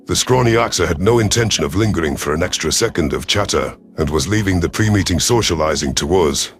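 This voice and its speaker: A man reading a dramatic science fiction story as a scripted narration, in a measured, serious manner. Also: low voice, lively intonation. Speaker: audiobook narrator. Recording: music behind the voice.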